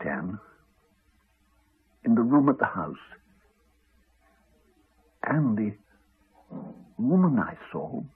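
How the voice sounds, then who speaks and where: An actor playing an earnest, wry, reflective doctor in a 1980s radio drama. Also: male voice, mid-range voice, husky, exaggerated voice. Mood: weary, confessional. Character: earnest male doctor, reassuring, wry, later weary and dying. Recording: muffled, narrow-band 1980s radio broadcast.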